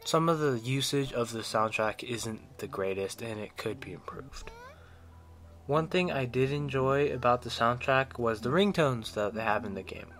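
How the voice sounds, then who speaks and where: A man's voice: mid-range voice, animated, normal speed, a bit bright. Man narrating an enthusiastic game review.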